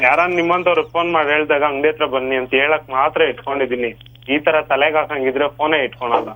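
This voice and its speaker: A man's voice: on the high side, steady tone, fast. A man of twenty-two in a casual phone conversation, polite but curt and ready to argue. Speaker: prank call victim, shop worker. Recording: noisy phone call.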